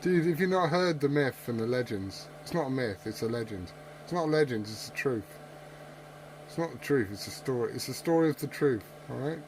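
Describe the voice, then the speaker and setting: A man's voice: medium pitch, animated, fairly quick. A man chatting casually over CB radio, joking and swearing freely. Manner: storytelling with tall tales. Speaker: CB radio operator. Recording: very noisy CB radio with clicks.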